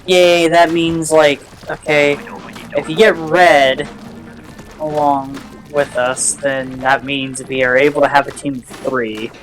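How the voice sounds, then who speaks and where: A young man from the United States talks haltingly, padding his words with fillers while he plays along. He speaks slowly, in a slightly raised voice. Soft music plays behind him. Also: on the high side, flat delivery, rough recording. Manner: halting, filler-heavy speech. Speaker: co-player. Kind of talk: casual chat over gameplay.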